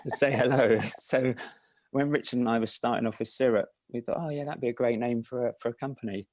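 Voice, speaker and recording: A man with an Estuary English accent, talking as an indie filmmaker guest in a relaxed, self-deprecating interview chat. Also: mid-range voice, a little fast, no echo. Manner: relaxed, self-deprecating, with frequent restarts and laughs.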